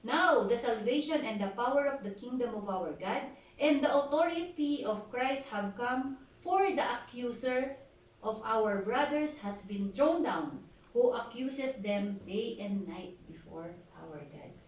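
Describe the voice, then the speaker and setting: A woman pastor with a Filipino accent preaching at a prayer meeting, asking the congregation questions and reading scripture slowly. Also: a little high, expressive. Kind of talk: sermon exhortation at a prayer meeting. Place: church hall. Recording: echoey hall from far off, faint noise.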